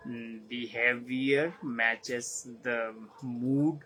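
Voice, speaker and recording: A man who is not a native English speaker, giving an unscripted talk as host, halting and repeating phrases as he searches for words. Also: mid-range voice, steady tone, a bit bright, a little hiss or hum, rough recording. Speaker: host of a live session.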